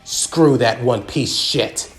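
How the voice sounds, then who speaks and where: A cold young swordsman from a story, voiced by a man, speaking at an ordinary male pitch over music. He is calm and matter-of-fact, with menace in his words. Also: slow pace, lightly acted. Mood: calm threat.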